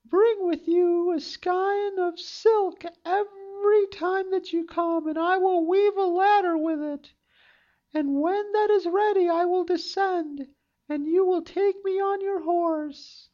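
A man voicing a gentle, innocent young maiden in a fairytale, speaking in an earnest, guileless way. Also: very high voice, steady tone, slow, muffled recording, pitched above the performer's own voice. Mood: earnest, willing.

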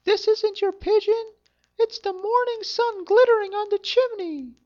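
A man voicing a scolding, deceitful fairy-tale mother in an exaggerated falsetto. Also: unhurried, darkish tone, lo-fi sound. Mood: scornful.

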